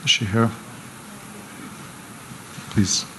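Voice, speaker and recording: Brief: male moderator, brisk and practical, faint room noise. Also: sharp tone, rough recording. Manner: brisk, calls on speakers by name. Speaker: panel moderator. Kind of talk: moderating audience questions. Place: conference hall.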